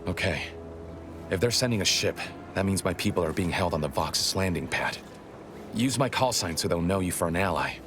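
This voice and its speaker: An actor playing a grave, guarded rebel spymaster in a video game, speaking in a formal, articulate way. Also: male voice, deep voice, lively intonation, fairly quick, over music. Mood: grave, urgent. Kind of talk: dramatic video game dialogue.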